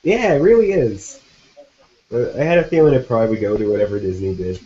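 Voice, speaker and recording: Laid-back slangy man, mellow voice. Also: fairly low pitch, quick pace. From Virginia, USA. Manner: laid-back slangy talk, says "super duper" and "dog". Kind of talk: casual live-stream chat. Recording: muffled online call audio.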